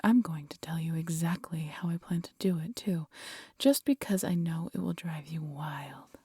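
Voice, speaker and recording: Husky, menacing demon queen. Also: low voice, normal speed, dry recording, clean studio sound, exaggerated voice. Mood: hushed gloating menace. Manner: theatrical, menacing, often hushed and breathy. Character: vengeful, proud demon queen, defeated but scheming. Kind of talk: scripted audio roleplay addressed to listener.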